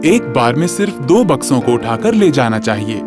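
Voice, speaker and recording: A man's voice: mid-range voice, sing-song, moderate pace, natural voice. A man playing a strict warehouse supervisor in a training film, scolding and instructing workers. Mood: instructive. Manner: scolding, didactic. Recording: loud music behind the voice.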